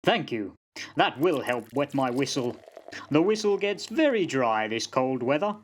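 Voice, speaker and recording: A man's voice: mid-range voice, very animated, unhurried. A man playing a fatalistic old sergeant-major in a radio play, telling yarns in a folksy, dramatic way. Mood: wry, fatalistic. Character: old soldier sergeant-major, fatalistic yarn-spinning raconteur. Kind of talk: amateur radio play acting.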